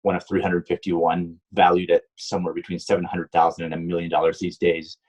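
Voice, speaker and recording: A man's voice: low-pitched, monotone, a little fast, a little mellow, muffled recording. A middle-aged man from Puerto Rico, a guest answering interview questions in a loose, rambling way with many ums and restarts. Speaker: guest, stunt driver and car designer.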